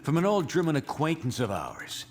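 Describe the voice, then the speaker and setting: An actor playing a principled superhero leader in a game cutscene, speaking in an earnest, resolute manner. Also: male voice, a little high, huge pitch swings, normal speed. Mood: defiant. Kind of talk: video game cutscene acting.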